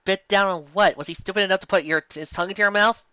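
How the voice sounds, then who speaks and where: A man giving a sarcastic, theatrical dramatic reading of fan fiction and breaking in with excitable commentary. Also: high-pitched, fast, highs cut off, noisy background.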